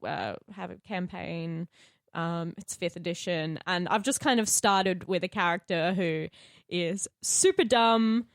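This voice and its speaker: A woman's voice: on the low side, a little slow, dry recording, clean studio sound. A woman with an Australian accent chatting casually on a podcast, in an informal, sweary way. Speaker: podcast co-host and actor.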